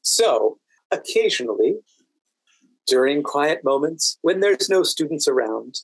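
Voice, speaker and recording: An American man speaking as a podcast guest, telling stories in a halting, deliberate way with dry amusement. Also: fairly high pitch, lively intonation, gravelly, husky, no room sound, low-quality recording. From Washington DC, United States. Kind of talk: anecdotal interview conversation.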